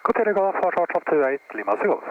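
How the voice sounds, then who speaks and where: Brief: male private pilot, higher voice, rapid readbacks from a small cockpit headset. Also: animated, phone-quality sound, noisy background. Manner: crisp, procedural readbacks. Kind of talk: radio readbacks and cockpit narration.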